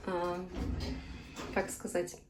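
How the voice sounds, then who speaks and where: A woman in her thirties chatting casually to camera as a vlogger introducing herself, often pausing mid-sentence. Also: on the low side, monotone, soft background music. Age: 30s. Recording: clearly noisy amateur vlog sound.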